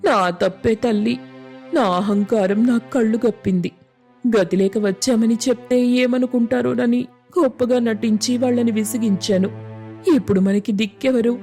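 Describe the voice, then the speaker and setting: A woman's voice: fairly high pitch, fairly even intonation, normal speed, warm tone, soft background music, lightly acted. A woman voicing a proud older village aunt humbled into pleading, in a Telugu moral story cartoon. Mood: tearful remorse. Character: proud older village aunt, haughty guest turned remorseful.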